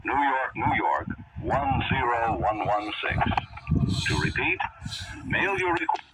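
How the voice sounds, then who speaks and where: A man's voice: mid-range voice, big pitch swings, slow. Distant man on static-drowned shortwave radio. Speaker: radio broadcaster. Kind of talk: radio broadcast speech.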